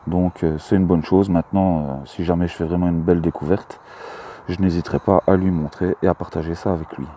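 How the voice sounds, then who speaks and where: Chatty Belgian man, low mellow voice. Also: steady tone, a little fast, slight background noise. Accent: Belgian French. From Belgium, Luxembourg province. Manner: friendly and chatty, many hesitations. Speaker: metal-detecting vlogger. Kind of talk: casual outdoor talk to camera. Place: outdoors in a mown meadow.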